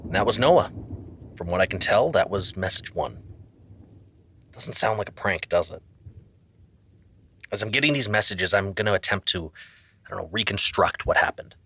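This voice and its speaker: A man playing an anxious, overwhelmed narrator of a podcast mystery, hesitant and confiding, with a husky voice. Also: sits low, lively intonation, normal speed, long pauses, pushed and bright, a little hiss or hum, heavily acted. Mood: puzzled, earnest.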